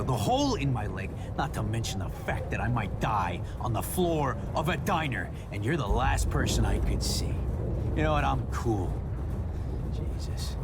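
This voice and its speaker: A bitter, injured, foul-mouthed man with an American accent. He speaks slowly and haltingly, his pitch swinging with volatile emotion. It is game cutscene acting under loud storm noise. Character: wounded, bitter, hot-tempered man, foul-mouthed and grieving.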